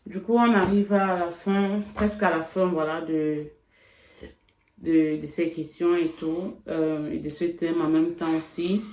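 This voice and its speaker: A woman from Cameroon, chatting reflectively in a casual couple vlog, with frequent pauses. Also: fairly low pitch, steady tone, a little mellow, echoey room. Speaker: vlogger.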